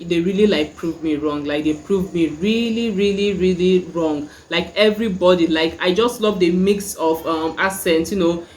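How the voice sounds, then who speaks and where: Chatty Nigerian woman reviewing a show. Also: lowish voice. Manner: chatty, many "like" and "you know" fillers. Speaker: YouTube reviewer. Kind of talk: casual review vlog.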